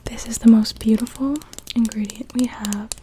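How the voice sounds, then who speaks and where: A young woman whispering gently and without hurry in an ASMR witch roleplay, speaking directly to the listener. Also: a little high, steady tone, moderate pace, a little hiss or hum. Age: young adult. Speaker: ASMR performer.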